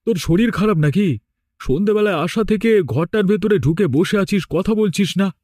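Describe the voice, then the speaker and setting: Male actor as simple rural man, slightly high voice, fretful, scolding, dry studio sound, cartoon. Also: normal speed, a little mellow, no echo. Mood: worried. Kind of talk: horror cartoon voice acting.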